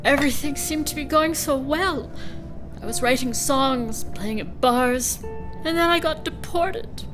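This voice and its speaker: A woman's voice: high voice, a little slow, music in the background. A woman playing a defiant, downcast young musician in a radio drama.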